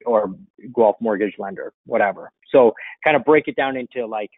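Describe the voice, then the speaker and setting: A man's voice: medium pitch, moderate pace, vocal fry, breathy edge, no echo. Middle-aged man hosting webinar, dull lo-fi sound. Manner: informal, conversational, explanatory. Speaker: webinar host and marketing coach. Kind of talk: casual webinar question-and-answer. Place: indoor room, seated at a computer. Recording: dull, dry lo-fi webinar audio.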